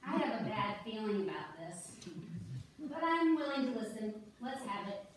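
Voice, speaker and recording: A woman's voice: mid-range voice, animated, normal speed, lightly acted. A woman playing a homely older wife, a wary but agreeable friend, in amateur reader's theater. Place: small church, recorded from audience pews. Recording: echoey hall, far off, noisy.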